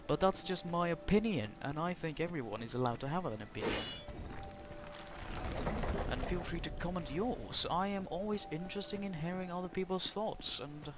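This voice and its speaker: A man from Norway, talking in a halting, self-conscious way as he gives casual commentary over a video game. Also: fairly high pitch, animated. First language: Norwegian. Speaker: gaming channel host. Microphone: cheap headset mic. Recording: cheap headset mic with loud background noise.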